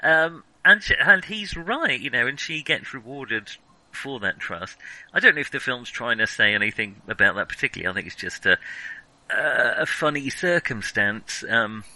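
A man co-hosting a film podcast in casual conversation. His voice is very bright and sharp at an average pitch. He talks haltingly, working out his opinions as he goes. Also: animated, no room sound, poor audio. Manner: hesitant, halting, thinking aloud.

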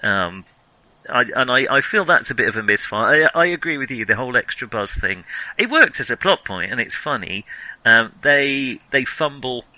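Bright, forceful man in casual discussion. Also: mid-range voice, sing-song, moderate pace, dry recording, poor audio. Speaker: podcast host.

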